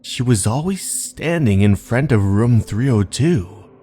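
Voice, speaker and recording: A man's voice: medium pitch, expressive, warm tone, a little hiss or hum. A man narrating scripted horror stories in a dramatic, suspenseful manner. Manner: slow, dramatic, suspenseful delivery. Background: background music.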